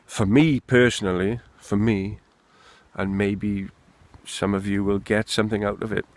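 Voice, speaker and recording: A man's voice: on the low side, steady tone, measured pace. A Welsh man rambling candidly and swearing freely in an unscripted monologue, recorded outdoors in the wind. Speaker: vlogger.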